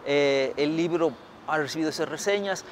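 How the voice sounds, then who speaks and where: Casual: middle-aged man from mexico, thinking out loud, lots of ehs and restarts, a bit high, street hum behind. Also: normal speed. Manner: thoughtful, many fillers and restarts. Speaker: historian author. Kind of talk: interview answers. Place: outdoors by a building.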